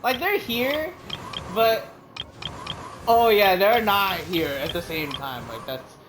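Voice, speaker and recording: A woman's voice: mid-range voice, lively intonation, slow pace, over music. A woman streaming a video game, rambling in casual commentary, swearing and laughing often.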